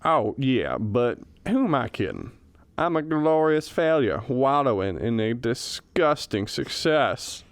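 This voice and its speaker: A man's voice: medium pitch, big pitch swings, slow, dry recording. A man acting a self-mocking history professor who grumbles in florid, wry phrases in a radio comedy.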